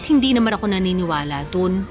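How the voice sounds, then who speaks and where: A woman playing a sensitive, stubborn young food lover in a Filipino radio drama, whiny and expressive, with a Filipino accent. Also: on the low side, fast talker, natural voice. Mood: playful, defensive. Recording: music bed under the dialogue.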